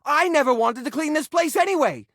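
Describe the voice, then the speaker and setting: A man's voice: very high-pitched, animated, a little fast, fairly bright tone, no room sound, clean studio sound. A man voicing a neurotic, sentimental hoarder of a junk drawer in a video game, fussy and theatrical in manner. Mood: defiant. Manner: fussy, theatrical, swinging into outbursts. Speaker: voice actor in a dating sim game. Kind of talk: animated video game character acting.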